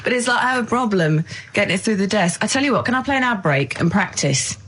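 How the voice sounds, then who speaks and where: A woman's voice: mid-range voice, animated, fast, fairly bright tone, breathy edge, rough recording. Self-deprecating woman on radio. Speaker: radio producer on air. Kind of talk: casual chat.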